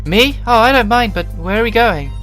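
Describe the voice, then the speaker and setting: Man doing a high, flustered shy game girl. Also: expressive, moderate pace, a bit bright, soft background music, poor audio. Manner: hesitant, meek. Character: shy, flustered, polite young woman in a video game. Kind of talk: amateur reading of game dialogue.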